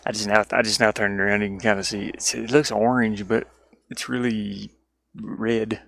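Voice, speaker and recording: A man's voice: fairly low pitch, level tone, moderate pace, gravelly, fairly bright tone. A man chatting casually as a livestreamer and game developer, rambling playfully and easily sidetracked. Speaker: streamer and indie game developer. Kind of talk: casual livestream chat while working. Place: inside a van.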